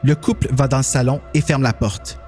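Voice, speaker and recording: A man's voice: medium pitch, steady tone, fairly quick, darkish tone. A man reading scripted story narration. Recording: music clearly under the narration.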